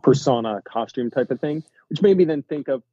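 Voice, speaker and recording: A man with a mellow, husky voice co-hosts a podcast, musing and wondering aloud in easy chat. His voice comes over a dull, dry, lo-fi recording. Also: mid-range voice, expressive, brisk, no room sound. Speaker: podcast co-host. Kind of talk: casual chat.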